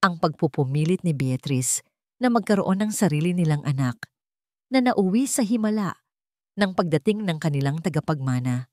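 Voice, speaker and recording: A woman with a Filipino accent narrating a scripted story in a dramatic, deliberate storytelling manner. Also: low-pitched, animated, mellow, no room sound, studio quality.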